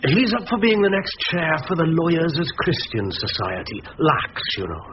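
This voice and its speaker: A forbidding, sanctimonious prosecutor with a voice fit for a church service. It sits fairly high and swoops expressively in pious disapproval. The radio drama sound is muffled and noisy. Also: male voice, big pitch swings, normal speed. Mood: pious, inquisitive. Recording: dull, noisy lo-fi radio recording.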